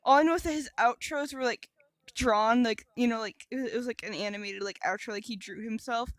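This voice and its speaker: High-voiced woman in casual podcast chat. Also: brisk, no echo. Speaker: podcast co-host.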